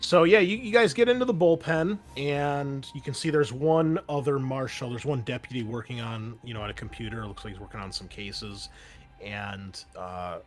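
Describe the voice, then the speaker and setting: A man narrating a tabletop roleplaying game as game master in a casual, conversational, halting way. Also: mid-range voice, soft background music.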